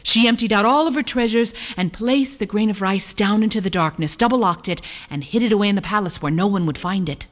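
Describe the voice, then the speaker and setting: A woman telling a children's fairy tale in an expressive, deliberate, dramatic storytelling manner. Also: medium pitch. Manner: dramatic, deliberate, acts out characters.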